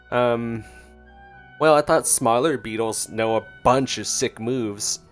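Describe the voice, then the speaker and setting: A man's voice: medium pitch, takes it slowly, soft background music. A man streaming gameplay with casual reaction commentary, sometimes reading dialogue aloud.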